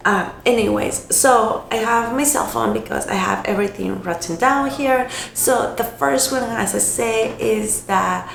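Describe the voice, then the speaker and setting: A young woman from Costa Rica, a native Spanish speaker, chatting casually to camera in a hoarse, raspy voice, hesitant and correcting herself as she goes. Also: a little high. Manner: hesitant, self-correcting, unhurried. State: hoarse from illness. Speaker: vlogger. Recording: echoey room, faint noise.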